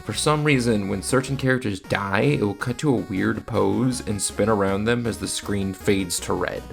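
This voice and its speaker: A man's voice: lowish voice, moderate pace, gravelly, music in the background. A man narrating a scripted video review with casual asides, in a blunt, exasperated manner.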